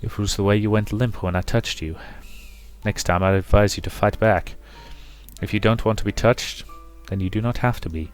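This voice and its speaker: A man's voice: lowish voice, fairly even intonation, moderate pace, faint music behind, lightly acted. A man voicing a strict, aloof nobleman who speaks formally and bluntly, as in a visual novel. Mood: severe. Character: stern, aloof nobleman, strict matter-of-fact teacher.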